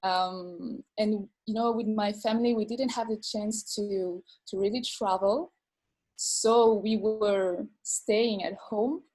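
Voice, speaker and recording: A woman from France, a dancer and teacher, telling her story in an interview with many pauses, fillers and repeated words. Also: mid-range voice, steady tone, darkish tone, rough recording. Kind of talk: video call interview.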